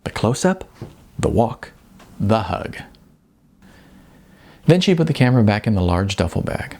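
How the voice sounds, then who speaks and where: A man narrates an audiobook from a script. His voice is husky and creaky at a middling pitch, with lively movement up and down as he tells the story. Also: a little slow.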